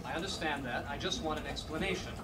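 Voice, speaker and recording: A man's voice: a little high, fast, recorded from a distance, very noisy, close to an everyday voice. A man playing a stressed, short-tempered father at his workplace in a radio drama. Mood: exasperated.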